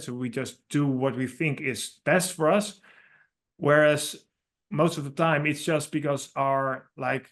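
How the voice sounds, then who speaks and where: Dutch-accented man explaining earnestly. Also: a little high. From Netherlands. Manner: earnest, explanatory, slightly non-native phrasing. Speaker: author and guest. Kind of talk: podcast interview.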